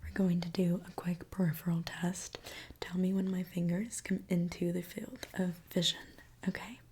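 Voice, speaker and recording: A woman doing an ASMR roleplay as a gentle mechanic, speaking in a soft, reassuring, attentive way. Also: on the low side, steady tone, normal speed, slight background noise. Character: gentle mechanic repairing a robot client. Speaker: ASMR creator.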